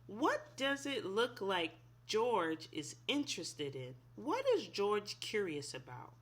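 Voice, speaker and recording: A woman's voice: mid-range voice, very animated, takes it slowly, slightly rough. A woman reading a children's picture book aloud expressively, in an instructive way, asking young listeners simple questions. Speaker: read-aloud storyteller, teacher.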